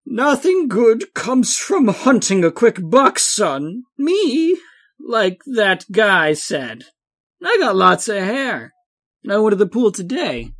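A man voicing a huge, hairy president who lectures in slow, drawn-out, grandiose speeches. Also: high-pitched, sing-song, a bit bright, voice pushed up, exaggerated voice. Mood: rambling.

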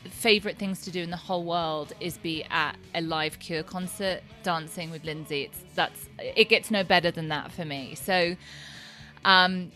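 A woman's voice: on the low side, fairly even intonation, moderate pace, sharp tone, soft background music. A woman in her fifties with an English accent, chatting casually and effusively as an interview guest. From East Anglia, England. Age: 50s. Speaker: interview guest, sober coach. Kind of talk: casual chat.

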